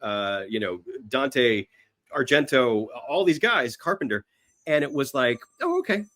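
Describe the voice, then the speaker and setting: A man's voice: mid-range voice, sing-song, measured pace, a bit bright. A middle-aged man talking casually about films as a podcast guest, enthusiastic and rambling, often repeating his phrases. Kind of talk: casual film chat.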